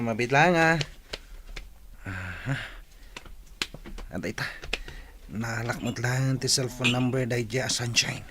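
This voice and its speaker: A man's voice: mid-range voice, a bit bright, slight background noise. A man with an Ilocano accent playing a teasing, sly, pushy young cousin in a radio drama, boisterous and wheedling.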